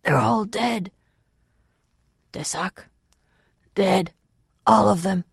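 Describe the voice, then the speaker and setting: A storybook narrator voicing an exhausted, shaken man of about thirty, halting and repeating words in a hoarse, breathless voice. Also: medium pitch, dry recording, studio quality, voice pushed up, full character voice. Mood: shocked, breathless. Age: 30s.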